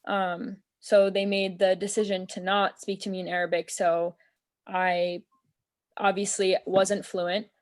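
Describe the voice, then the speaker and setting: A woman's voice: medium pitch, little pitch movement, measured pace. Young woman, american, earnest chat, lots of "um" and "like", video call from a small room at home. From United States. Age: young adult. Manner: earnest, thoughtful, many fillers like "um" and "like". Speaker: interview guest. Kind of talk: casual conversation.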